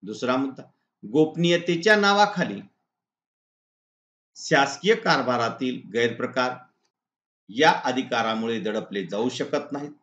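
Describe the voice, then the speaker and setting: A man with a Maharashtrian accent, a teacher reading out lesson answers point by point in a deliberate, instructional way. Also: mid-range voice, lively intonation, a bit bright. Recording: echoey room, dull lo-fi sound.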